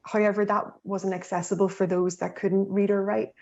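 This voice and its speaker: A woman's voice: mid-range voice, little pitch movement, normal speed, a little mellow, muffled recording. A woman on a panel answering questions in an earnest way, with many restarts and fillers.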